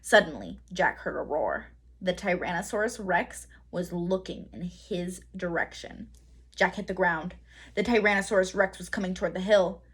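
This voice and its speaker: A woman reading a children's story aloud as a teacher, with expressive, dramatic emphasis. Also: fairly low pitch, husky. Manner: dramatic emphasis, pauses to quiz listeners.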